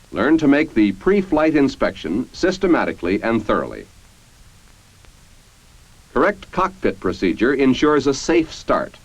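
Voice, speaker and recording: An American man reading scripted 1950s military training-film narration in a formal, measured, instructional manner. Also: mid-range voice, dry recording. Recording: dull, band-limited 1950s film soundtrack.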